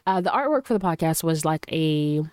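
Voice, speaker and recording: Slightly hoarse woman hosting a casual podcast. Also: deep voice, expressive, fairly quick, darkish tone, no echo, clean studio sound. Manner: loose and chatty, full of fillers; earnest and encouraging. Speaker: podcast host. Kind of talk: casual solo podcast talk. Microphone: studio microphone.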